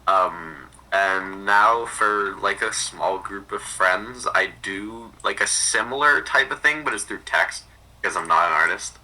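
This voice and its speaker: A man chatting casually as a podcast guest, halting and repeating words as he explains things. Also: lowish voice, sharp tone, poor audio. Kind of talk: casual chat.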